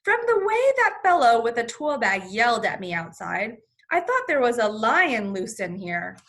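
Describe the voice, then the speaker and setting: A woman plays a wary, easygoing storybook policeman, puzzled by what he sees. The delivery is high, bright and emphatic, with plenty of swing. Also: sing-song, moderate pace. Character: puzzled, gruff but easygoing male policeman.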